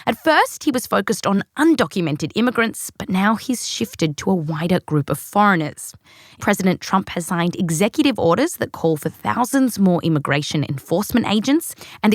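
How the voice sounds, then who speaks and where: A woman's voice: mid-range voice, sing-song, normal speed, no room sound, studio quality. An Australian woman hosting a science podcast in a scripted narration with playful, jokey asides. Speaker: podcast host.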